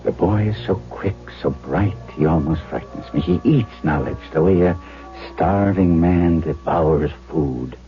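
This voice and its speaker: Deep-voiced, earnest radio doctor. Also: male voice, faint music behind. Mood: brooding narration. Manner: deliberate, dramatic, coaxing, theatrical. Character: earnest, coaxing German doctor, devoted to his young patient. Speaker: actor narrating and playing a doctor. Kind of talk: 1970s radio drama acting with diary narration. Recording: tinny phone-like 1970s radio broadcast.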